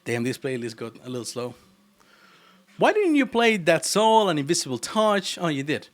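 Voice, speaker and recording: A man's voice: fairly high pitch, sing-song, normal speed. A man from Argentina with an Argentine Spanish accent, chatting casually and teasingly with his live chat while he codes. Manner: playful and teasing, laughs often. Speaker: programming livestreamer.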